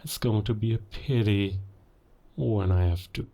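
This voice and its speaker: Man playing a slow, low-voiced, menacing werewolf villain. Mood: quietly threatening. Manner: slow, deliberate, pausing, quietly menacing. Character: cold, menacing male werewolf villain, a murderous spy. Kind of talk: cinematic audio roleplay monologue.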